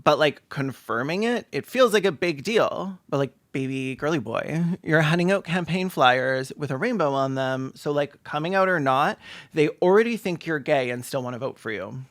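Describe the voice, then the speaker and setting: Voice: mid-range voice, expressive, normal speed, no echo. A comedic commentator reacting to videos with sarcastic, campy, playful delivery and mocking asides. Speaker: YouTube host and commentator.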